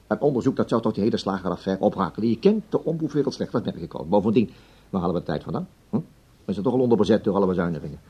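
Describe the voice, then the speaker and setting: An actor playing a brusque, gossipy, busy broadcasting boss in a radio drama, husky-voiced, chatty and dismissive. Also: male voice, lowish voice, lively intonation, very fast, warm tone. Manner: dismissive, chatty, repeats himself. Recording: dull old radio drama recording.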